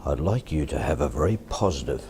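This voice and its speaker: An Australian man with a deep voice, calmly and deliberately teaching and demonstrating hypnosis in a soft-spoken, repetitive way. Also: background noise. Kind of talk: hypnosis instruction and induction, softly spoken.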